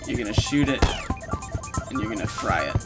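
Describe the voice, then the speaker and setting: A man giving a casual, informal walkthrough of a software demo, explaining things step by step to the listener as if they were the player. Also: lowish voice, big pitch swings, fairly quick. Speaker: presenter of a video walkthrough, game sound designer. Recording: loud game music under the voice. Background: video game music and sound effects.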